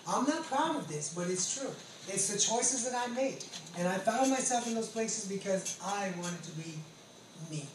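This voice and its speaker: A man's voice: high-pitched, lively intonation, normal speed. An American man in his late forties giving an earnest, emphatic testimony as a preacher, often repeating his phrases. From California, United States. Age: late 40s. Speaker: pastor and ex-convict preacher. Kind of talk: testimony sermon. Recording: roomy echo, distant mic, background noise.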